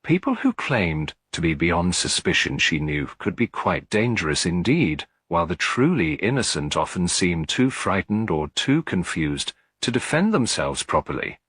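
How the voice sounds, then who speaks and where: A man's voice: deep voice, very animated, normal speed, few pauses, fairly bright tone, slightly rough, dry recording. A man reading a mystery story aloud as a scripted audiobook narration.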